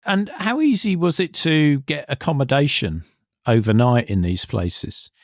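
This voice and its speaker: A man's voice: mid-range voice, animated, measured pace, no room sound, studio quality. A man hosting an interview, asking brief, inquisitive questions. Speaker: podcast host.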